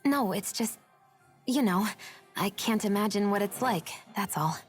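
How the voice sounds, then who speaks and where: A curious young woman in a video game, kinda hesitant, medium pitch, soft music behind. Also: lively intonation, measured pace, husky. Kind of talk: video game dialogue acting.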